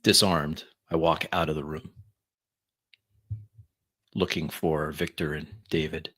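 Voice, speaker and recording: A man improvising first-person narration in a tabletop roleplay, in deliberate, halting phrases. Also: a bass voice, level tone, a bit bright, breathy edge. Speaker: roleplay player.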